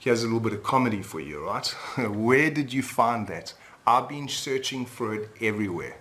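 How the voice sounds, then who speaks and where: A man's voice: mid-range voice, a bit bright. A man from South Africa hosting a sales webinar in an upbeat tone, often checking in with "all right?". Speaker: webinar host and retreat leader.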